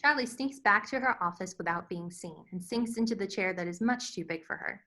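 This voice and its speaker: Female narrator for screenplay read, clear-cut bright, forceful tone, medium pitch, scripted delivery. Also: brisk. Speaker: stage-direction narrator.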